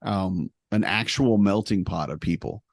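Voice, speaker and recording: Low-voiced man telling stories. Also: measured pace, creaky, dry recording. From United States. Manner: animated, self-deprecating, humorous storyteller. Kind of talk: casual storytelling conversation.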